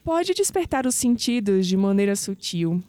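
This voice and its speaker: Lady playing a curious young brazilian woman in an audio drama, warm mid voice, coy and a bit sceptical. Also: mid-range voice, big pitch swings, moderate pace, no echo, natural voice. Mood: poised, explaining.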